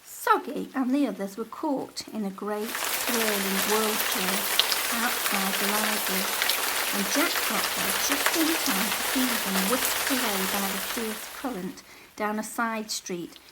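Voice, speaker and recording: A woman narrating a children's story aloud with expressive, dramatic delivery. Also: medium pitch, measured pace, heavy background noise.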